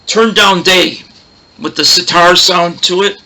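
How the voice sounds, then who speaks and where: A man with a big, loud, roaring voice hosting a casual record collection show, chatting in a rambling way and losing his train of thought. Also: sits high, a little slow, low-quality recording. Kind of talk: casual show-and-tell chat.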